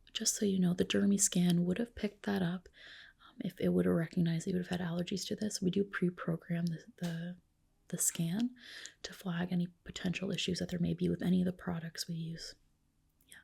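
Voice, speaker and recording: A woman whispering a soothing ASMR roleplay as a reassuring clinician, in a soft, breathy voice. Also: lowish voice.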